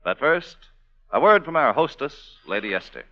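A man hosting and announcing a 1940s radio show with a crisp delivery, chatting with the lead. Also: mid-range voice, very animated, moderate pace. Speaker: announcer and host. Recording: dull 1940s radio with faint audience.

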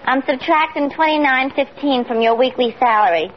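Teasing no-nonsense bar cashier, high pitched, old radio show with some hiss. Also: female voice, animated, moderate pace. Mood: wry, teasing. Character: wry female tavern cashier, teasing and matter-of-fact. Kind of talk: 1940s-50s radio comedy acting. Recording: muffled old radio, noise, faint audience.

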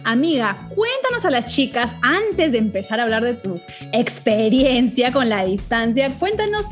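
Woman from peru, thirties, podcast host, chatty and gossipy, fairly high voice, faint music. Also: very animated, normal speed. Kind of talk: casual chat.

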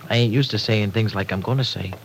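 A man's voice: on the low side, close to an everyday voice. Man acting a bashful American tough guy, old noisy radio. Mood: nervous. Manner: halting, slangy, streetwise. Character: lovestruck young tough guy, rough, bashful, slangy. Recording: noisy vintage radio recording.

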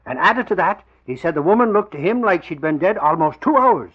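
An actor playing a folksy, excitable male reporter who talks in colloquial slang, in 1940s radio drama style. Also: high voice, brisk, slightly rough. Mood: eager reporting. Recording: dull old radio recording.

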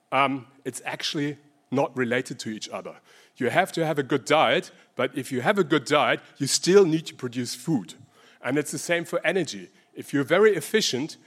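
German-accented male researcher, fairly high-pitched, hesitant conference presenter. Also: a bit bright. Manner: hesitant, many ums and restarts. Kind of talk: conference talk.

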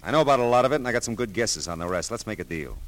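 An American actor playing a dry, persistent private detective in an old radio drama, with a wry, clipped, hard-boiled delivery. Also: male voice, fairly low pitch, animated, very fast, fairly bright tone, low-quality recording. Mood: insistent. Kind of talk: 1940s-50s radio detective acting with first-person narration. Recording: old radio show, faint music.

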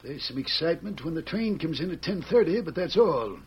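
Raspy, folksy Old West sheriff. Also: male voice, a little high, expressive, a little fast, heavily acted. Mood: curious, musing. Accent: American. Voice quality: raspy, gravelly. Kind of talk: old-time radio western acting. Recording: dull, lo-fi old radio recording.

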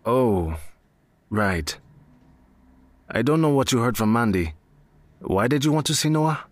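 A man's voice: mid-range voice, animated, unhurried, no echo, natural voice. Guy doing a cafe worker, courteous but cagey, voice goes up and down a lot. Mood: wary. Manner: courteous, then cautious. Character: café worker, polite but wary. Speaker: café staff. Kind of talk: audio drama acting.

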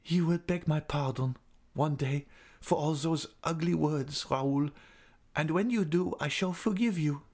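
Storybook heroine, played by a man: young, high-voiced, proud yet distressed, imploring and dramatic. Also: a little slow, darkish tone. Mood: hurt, dignified. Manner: pleading, dramatic. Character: distressed, pleading young woman, proud and secretive. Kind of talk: dramatic audiobook character reading.

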